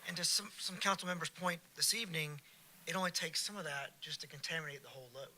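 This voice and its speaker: A man's voice: fairly high pitch, fast, bright, forceful tone, a little hiss or hum. A man representing a waste service, explaining things in an easygoing way at a council meeting.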